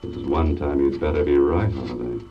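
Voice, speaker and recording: An actor playing a wry, cool-headed private investigator in 1940s radio style, with a sardonic way of talking. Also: male voice, rumbling low, fairly even intonation, fairly quick, slight background noise. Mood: tense, musing. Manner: sardonic, talks to himself in narrated asides. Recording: dull vintage radio recording, music behind.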